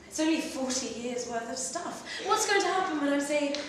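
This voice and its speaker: A woman performing a literary short story at a live reading, in an expressive, measured way with pauses between phrases. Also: high voice. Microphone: distant microphone. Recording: very echoey room, distant mic, faint noise.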